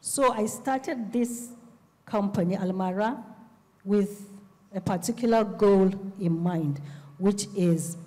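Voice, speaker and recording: A middle-aged Nigerian-accented woman speaks on a panel in a soft, mellow voice of medium pitch. Her delivery is measured, with deliberate pauses between phrases. The handheld microphone carries the long reverb of a hall. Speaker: panelist, publisher and literacy advocate. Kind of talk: panel discussion talk. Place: on stage in a festival hall.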